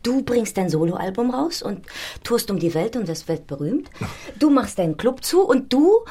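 A woman's voice: on the high side, big pitch swings, normal speed, warm tone, breathy edge. A woman playing a defiant young woman in a naturalistic radio drama. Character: defiant young woman determined to start a new life. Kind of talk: naturalistic radio play dialogue.

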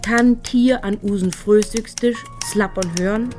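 A woman with a Low German accent reads a literary story expressively. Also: steady tone, measured pace, darkish tone, faint music behind.

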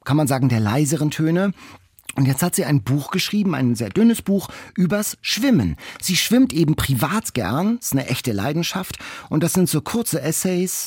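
A man's voice: fairly high pitch, darkish tone, husky, no echo, studio quality. A man, co-hosting a conversational book podcast in an enthusiastic, reflective manner. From Germany. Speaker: podcast co-host discussing books.

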